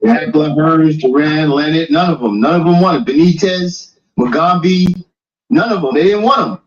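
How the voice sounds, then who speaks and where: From some distance off the mic, a man with a fairly high voice talks casually. The sound is somewhat dull and muffled. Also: moderate pace. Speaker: third participant on the call. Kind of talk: casual chat.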